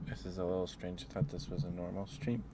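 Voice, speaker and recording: A man hosting a casual ASMR livestream, chatting to viewers in a gentle, apologetic, rambling way. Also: deep voice, flat delivery, fast talker. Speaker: livestream host. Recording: clearly noisy background.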